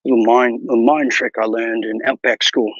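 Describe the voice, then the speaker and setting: A man playing a bumbling, boastful wilderness ranger who hosts a mock nature show, talking in a mock Australian accent with hushed, mock-serious narration and a husky voice. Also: medium pitch, little pitch movement, normal speed, dry recording, dull audio, close to an everyday voice. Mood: hushed bravado. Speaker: mock wildlife show host. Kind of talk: improvised parody nature documentary.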